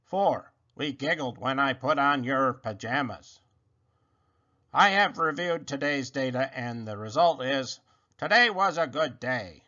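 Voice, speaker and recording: Man playing a polite, slow-talking storybook robot. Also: mid-range voice, animated, bright, forceful tone, muffled recording. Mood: orderly, earnest. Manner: formal, precise wording. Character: polite, literal-minded male robot in a children's storybook.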